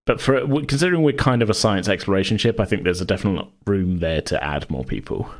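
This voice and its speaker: A man with a distinctly deep-pitched voice talks casually as a podcast host. He rambles and thinks aloud, in the loose style of a chat about a game he runs. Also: animated, fast.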